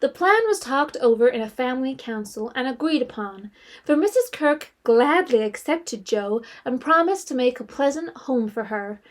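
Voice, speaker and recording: A composed female narrator tells a period story in a high-pitched voice. The delivery is scripted, measured storytelling for an audio drama. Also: expressive.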